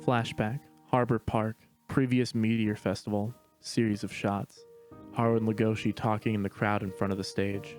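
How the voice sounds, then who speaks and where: A man narrating stage directions in a steady, matter-of-fact reading voice. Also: lowish voice, normal speed, soft background music. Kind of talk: plain read-aloud narration.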